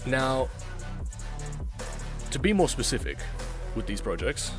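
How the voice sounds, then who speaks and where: A man hosting a casual reaction video as an amateur voice actor, talking in deliberate, halting phrases. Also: mid-range voice, very animated, over music.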